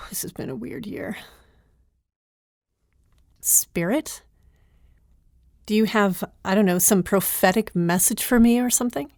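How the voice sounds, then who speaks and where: A woman's voice: medium pitch, slow, creaky, pauses often, darkish tone, no room sound, studio quality. A woman hosting a podcast as herself, talking informally in a hesitant, conversational, wry way. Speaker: podcast creator and host, playing herself. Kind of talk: informal podcast talk in an audio drama.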